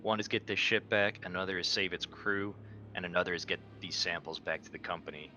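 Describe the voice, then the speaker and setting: A man's voice: fairly even intonation, fairly quick, pushed and bright. A man playing a tabletop roleplaying game, talking casually as a player. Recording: noisy mic with background rumble.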